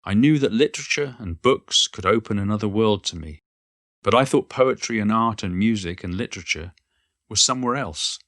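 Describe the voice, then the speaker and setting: A man's voice: on the low side, animated, moderate pace, fairly bright tone, no room sound, studio quality. A man playing an elderly poet and former schoolteacher, reminiscing in a modest, wry and reflective monologue. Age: older. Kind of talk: reflective first-person monologue, read from a book.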